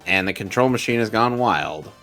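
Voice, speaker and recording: A man's voice: on the low side, expressive, normal speed, bright, forceful tone, faint music behind. A young man on a casual gaming stream, dryly teasing his friends while narrating his play. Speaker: podcast co-host and gamer.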